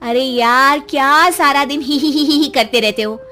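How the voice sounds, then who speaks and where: A woman's voice: high-pitched, unhurried, sharp tone, soft background music. A woman doing a bossy mocking wife. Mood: scolding. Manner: scolding, mocking. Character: bossy, sharp-tongued young wife.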